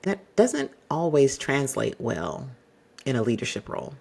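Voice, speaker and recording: A man hosting an advice podcast, speaking to the listener in a polished, exacting and fairly monotone way. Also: medium pitch, vocal fry. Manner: measured, exacting, addresses listener directly. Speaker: podcast host.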